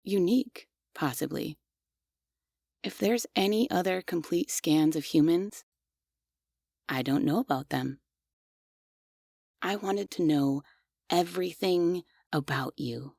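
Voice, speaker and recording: A woman voicing a creaky, obsessive maid robot. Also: on the low side, slow pace, long pauses, darkish tone, dry recording, clean studio sound, full character voice. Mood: softly apologetic. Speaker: ASMR roleplay performer. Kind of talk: scripted ASMR audio roleplay.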